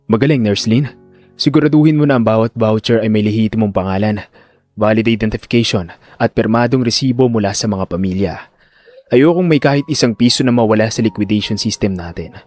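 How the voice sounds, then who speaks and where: A man playing a calm, measured hospital director and devoted son in an audio drama, solemn and firm. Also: lowish voice, dry recording, soft background music, voice pushed down, natural voice. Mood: firm, composed. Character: grown male doctor and hospital director, calm, grieving son.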